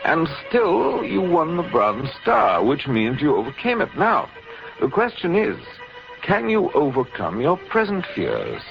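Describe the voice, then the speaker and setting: A sinister, coolly taunting villain of 1940s radio drama. His formal, deliberate lines swing widely in pitch with smug menace. The old recording is dull and muffled, with music underneath. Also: huge pitch swings, gravelly, full character voice. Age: middle-aged. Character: tall sinister fifty-year-old kidnapper, coolly taunting experimenter.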